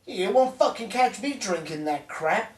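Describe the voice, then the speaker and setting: A man playing a profane, bullying spoiled son of a crime boss, in a dark comic drama. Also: high voice, lively intonation, a little slow, very noisy. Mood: angry, taunting. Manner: profane, aggressive, ranting. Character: foul-mouthed bullying spoiled heir of a crime boss father. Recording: roomy echo, distant microphone.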